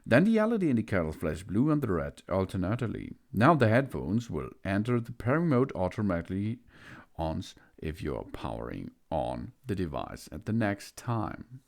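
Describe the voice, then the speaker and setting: A man giving an unboxing walkthrough of a gadget, hesitant, with many filler pauses. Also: lowish voice, sing-song. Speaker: product reviewer.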